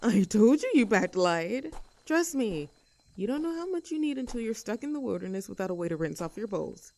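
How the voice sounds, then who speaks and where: A woman's voice: on the high side, big pitch swings, moderate pace, darkish tone, a little hiss or hum. A woman playing a young, outdoorsy tomboy friend in an audio roleplay, chatting casually in an upbeat, caring and reassuring way. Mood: caring, encouraging. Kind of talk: roleplay audio acting, casual intimate chat.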